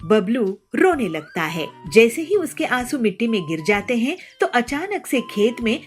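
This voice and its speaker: Female fable narrator: scripted, slow-paced, lively intonation, light music bed. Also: a little high. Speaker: storyteller. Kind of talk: scripted children's story narration.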